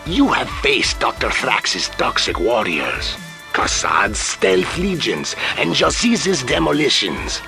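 A pompous, wildly expressive warlord. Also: male voice, mid-range voice, gravelly, exaggerated voice. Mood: gloating menace. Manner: theatrical, pompous, taunting, deliberate. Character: proud, menacing male guerrilla commander in chief. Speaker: villain general taunting the player. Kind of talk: scripted video game villain taunts.

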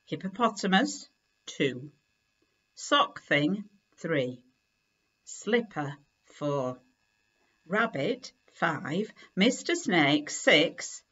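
A middle-aged woman reading a children's picture book aloud in a deliberate, expressive way at home. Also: sits low, husky, dull audio. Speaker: story reader. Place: living room at home, indoors.

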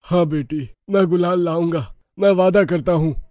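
A man voicing a poor, meek village father who pleads in despair in an animated story. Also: high-pitched, normal speed, dry recording, clean studio sound, close to an everyday voice. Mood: reassuring.

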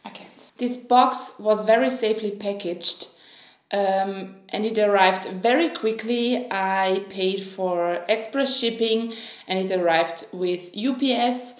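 Croatian-born swiss woman in her 30s doing an unboxing, slow talker, gushy and sighing, echoey room. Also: medium pitch. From Switzerland. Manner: excitable, gushing, with sighs and small laughs. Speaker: beauty vlogger. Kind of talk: unboxing review to camera. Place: home room, indoors.

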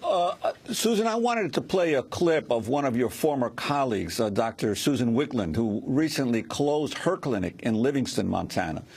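A man hosting a news program, asking questions and reading in a measured way from a script. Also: medium pitch, breathy edge. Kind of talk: broadcast news interviewing.

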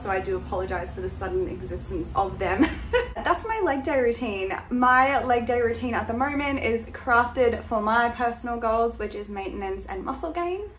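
A woman's voice: mid-range voice, a little hiss or hum. A young woman vlogging casually about her workout, with an informal, upbeat, jokey way of talking. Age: young adult. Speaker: fitness vlogger. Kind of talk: casual vlog talk and workout explanation. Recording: loud music behind the voice. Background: loud music.

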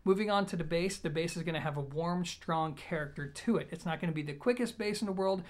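A man in his thirties giving a product review to camera in a measured, organized way. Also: fairly high pitch. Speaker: audio gear reviewer. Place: small plain indoor room.

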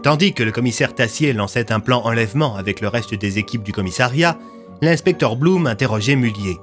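A man's voice: medium pitch, lively intonation, fairly quick, fairly bright tone. A young man narrating a scripted audio story as its storyteller. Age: young adult. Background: music.

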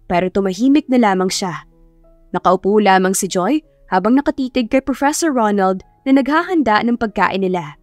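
A woman's voice: medium pitch, a little mellow, no echo. Woman narrating, dry recording over music. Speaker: audiobook narrator. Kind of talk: scripted story narration. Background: background music.

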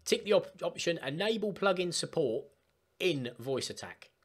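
A man presenting an informal step-by-step software tutorial in an emphatic, instructional way. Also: a little high, very animated, normal speed, husky. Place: voice-over for screen recording.

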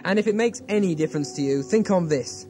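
A man's voice: high-pitched, expressive, quick pace, music in the background. A man playing a desperate, angry pleader in an audio drama, earnest and accusing.

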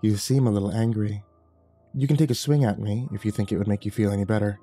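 A man voicing a gentle, solemn archangel who speaks softly and calmly. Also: on the low side, fast, soft background music, voice pushed down, natural voice. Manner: soft and calm.

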